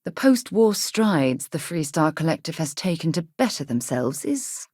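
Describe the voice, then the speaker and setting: Expressive, principled woman space explorer. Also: sits low, measured pace, no echo, clean studio sound, heavily acted. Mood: wry, thoughtful. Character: principled, earnest female space explorer, loyal and articulate. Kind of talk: video game character dialogue.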